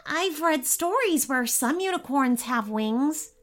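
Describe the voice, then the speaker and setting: A woman's voice: high voice, expressive, takes it slowly, voice pushed up. A woman voicing a polite, nervous young boy in a story, hesitant, earnest and hopeful. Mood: wondering, hopeful. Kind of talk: storybook character voice.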